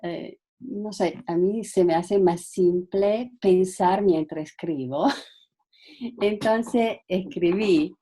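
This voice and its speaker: Italian-native woman guest speaker, slow halting delivery, mellow tone, video-call sound. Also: medium pitch, slightly rough. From Italy. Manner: hesitant, halting with frequent fillers and restarts. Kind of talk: online talk.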